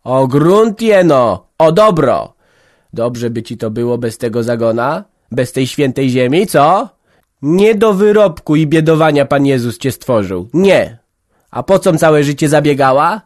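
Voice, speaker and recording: A man's voice: mid-range voice, animated, measured pace, dry recording, studio quality, voice pushed up, natural voice. A man voicing a stern, anxious old peasant mother who scolds and lectures in folksy speech. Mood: scolding.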